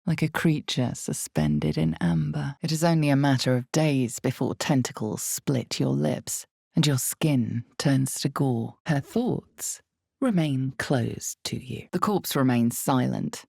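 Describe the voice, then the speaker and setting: A woman performing as an ominous storyteller in a dark fantasy game, narrating in a deliberate, suspenseful, theatrical way with breathy drops toward a whisper. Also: extremely low pitch, lively intonation, no room sound, studio quality, heavily acted. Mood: grave, suspenseful. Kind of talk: dramatic second-person fantasy game narration.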